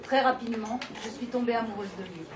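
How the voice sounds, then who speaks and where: A woman with a fairly high voice reads a text clearly. She is recorded from a distance in an echoey room, with clear background noise. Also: quick pace. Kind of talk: reading a text aloud. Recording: echoey shop from far off, chatter.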